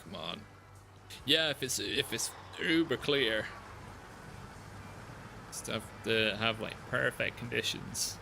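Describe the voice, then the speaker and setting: A man chatting casually with his live-stream chat while playing a driving game, relaxed and talkative. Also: mid-range voice, slow pace, gravelly, pauses often, noisy background.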